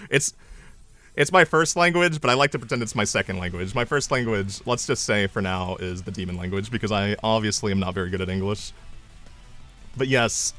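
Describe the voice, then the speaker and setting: A young man chatting casually on his live stream, mocking himself and breaking into loud triumphant yells. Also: mid-range voice, no room sound.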